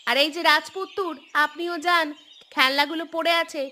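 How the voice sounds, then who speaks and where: Woman as a sharp, high-voiced scolding mother. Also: steady tone, moderate pace, slight background noise. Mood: angry, scolding. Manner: sharp, nagging, sarcastic. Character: stern, scolding village mother. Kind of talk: acted audio story dialogue.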